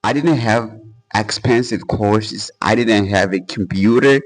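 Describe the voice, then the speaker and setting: A man's voice: on the low side, unhurried. Earnest young man, English. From Portuguese-speaking country. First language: Portuguese. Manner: earnest, casual with "bro" and fillers. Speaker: English-learning YouTuber. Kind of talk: motivational talk to camera.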